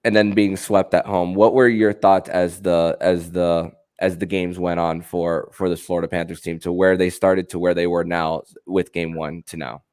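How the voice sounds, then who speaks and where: A man with a low, smooth, resonant voice hosts a sports podcast. He talks haltingly, often repeating and restarting his phrases as he addresses listeners and guest. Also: little pitch movement, darkish tone. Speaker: podcast host.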